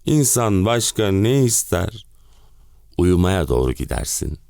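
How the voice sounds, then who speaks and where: A man's voice: low voice, sing-song, slow, vocal fry, darkish tone, no echo, clean studio sound, full character voice. A male actor playing an exasperated, self-pitying middle-aged husband in a theatrical monologue, with melodramatic, comic complaining. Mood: weary sarcasm. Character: exasperated, hen-pecked middle-aged husband ranting about summer-house misery. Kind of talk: theatrical one-man play monologue.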